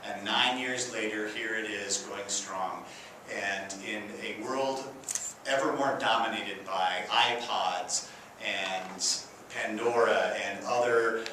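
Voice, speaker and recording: A man speaks from some distance in a very echoey, clearly noisy room. His voice is on the low side, and he gives an informal speech of thanks with frequent hesitant pauses. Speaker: radio station executive director, guest speaker. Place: indoor council chambers.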